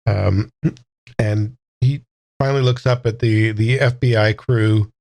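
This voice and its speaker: A man casually narrating his character's actions in a tabletop game, halting as he pieces them together. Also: lowish voice, fairly even intonation, vocal fry, a little mellow.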